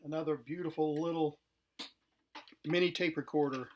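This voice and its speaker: A man's voice: a little high, fairly even intonation, normal speed, darkish tone, slight background noise. A man explaining and demonstrating equipment casually, in a plain, somewhat hesitant, explanatory way. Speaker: vintage tech demonstrator. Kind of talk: casual unscripted product demonstration.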